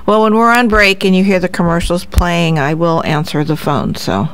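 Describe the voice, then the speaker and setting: A woman's voice: lowish voice, normal speed, clear, resonant tone. Upbeat woman co-hosting radio. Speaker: radio co-host. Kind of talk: casual radio talk.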